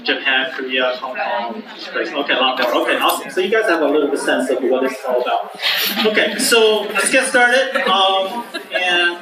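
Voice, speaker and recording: A man's voice: a little high, expressive, moderate pace. A man from China who owns a travel company, speaking upbeat to an audience and asking the room questions. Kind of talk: addressing an audience. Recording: echoey room from far off, crowd behind.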